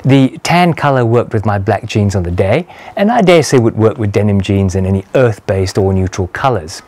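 A man in his sixties giving a casual product review to camera in a measured, understated, conversational way. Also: lowish voice, expressive, darkish tone. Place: small walled garden patio, outdoors. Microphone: lapel mic.

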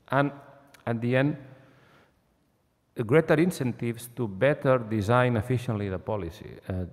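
Man wrapping up a talk in a hall. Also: medium pitch. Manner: measured, repeats words and restarts. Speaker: conference presenter. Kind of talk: academic talk. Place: conference hall, seated at a panel table, masked. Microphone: desk microphone on a stand. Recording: long reverb of a large hall.

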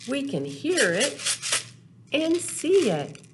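An older woman in her sixties hosting a storytime for young children, speaking in a deliberate, expressive, simple sing-song teaching way. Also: sits high. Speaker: children's storytime host at a library. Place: small indoor room, in front of backdrop. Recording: noticeable background noise, clicks of props.

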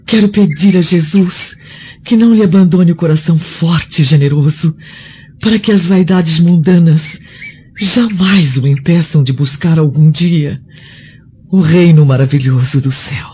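Woman acting a mellow, devout Roman noblewoman. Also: deep voice, unhurried, breathy edge, exaggerated voice. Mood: prayerful. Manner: earnest, gentle, formal. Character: gentle, devout Roman noblewoman of deep faith. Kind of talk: radio drama acting. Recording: muffled audio, background noise.

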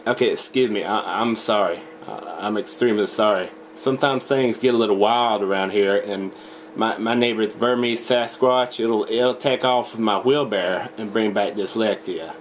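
Stammering young man on a noisy recording. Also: fairly low pitch. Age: young adult. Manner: halting, stammering, rambling. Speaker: prank caller. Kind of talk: deadpan prank phone call. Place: small indoor home room.

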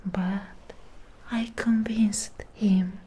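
A woman voicing a lonely, possessive female Frankenstein creature in a close-up ASMR roleplay, whispering in breathy, halting, intimate phrases. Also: mid-range voice, fairly even intonation, dark tone, heavily acted. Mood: pleading, possessive. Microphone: close microphone. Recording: close-up with clear background noise.

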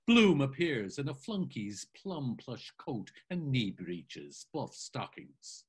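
A man reading stage directions aloud in a dramatic reading over a video call, measured and expressive. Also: mid-range voice, breathy edge.

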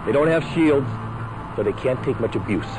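An actor playing a seasoned, stern military commander, clipped and authoritative, training a rookie pilot in a video game. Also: male voice, mid-range voice, lively intonation, normal speed, background noise, lightly acted. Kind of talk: scripted video game acting. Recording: loud music and engine sounds behind.

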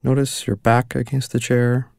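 A man leading a guided mindfulness meditation with slow, gentle and soothing instructions. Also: mid-range voice, flat delivery, creaky, no echo, clean studio sound.

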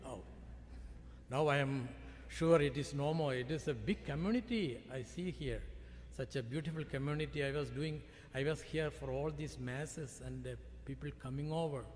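Indian-accented priest preaching in a reverberant church. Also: male voice, mid-range voice, a little hiss or hum. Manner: earnest, emphatic rises on key phrases. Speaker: visiting priest. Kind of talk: sermon. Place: large church, at the pulpit. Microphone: pulpit microphone. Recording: reverberant church from a far camera.

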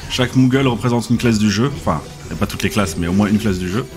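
A man's voice: lowish voice, quick pace. A young man with an Alsatian accent, tired and joking in casual let's-play commentary. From Alsace. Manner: chatty, joking, many fillers. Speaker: gaming channel host. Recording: game music faintly behind.

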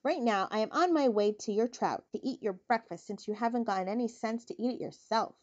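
A woman's voice: on the high side, fairly quick, a little mellow, muffled recording, natural voice. A woman voicing a sour, greedy male rat who grumbles, in a storybook reading.

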